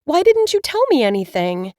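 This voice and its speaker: Lady doing a tearful young witch girl, high and wobbly. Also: sing-song, normal speed, a little mellow, dry recording, studio quality. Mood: upset. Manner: high, emotional, sobbing. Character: young witch woman, upset and teary.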